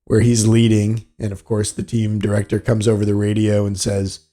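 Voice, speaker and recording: A man's voice: on the low side, completely flat delivery, normal speed, mellow. A man hosting a solo sports business podcast, explaining things in a matter-of-fact way. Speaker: podcast host.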